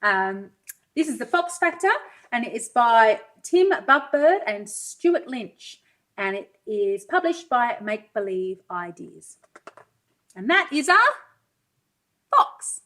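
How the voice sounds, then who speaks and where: A woman with a fairly high voice reads a picture book to small children. She takes it very slowly, in an expressive, sing-song way, and puts questions to her listeners as a pre-school teacher would. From Wales. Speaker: pre-school teacher reading a storybook aloud. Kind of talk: children's read-aloud with asides to young listeners.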